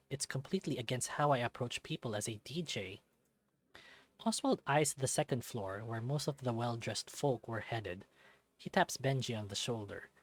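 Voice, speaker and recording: A man reading a visual novel aloud as expressive first-person scripted narration. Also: medium pitch.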